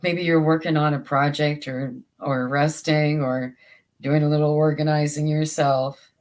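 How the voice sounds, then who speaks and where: A livestream host with a soothing voice, chatting casually with viewers while crafting, halting and restarting often. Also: mid-range voice, level tone. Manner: slow and halting, with many repeated starts.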